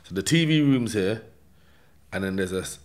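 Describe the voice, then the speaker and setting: London guy w/ a deep-ish voice, slangy, telling his life story casually, lots of innit and yeah?. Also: expressive, normal speed. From London, England. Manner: streetwise slang, repeated check-in tags like "yeah?" and "innit". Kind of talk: casual first-person storytelling.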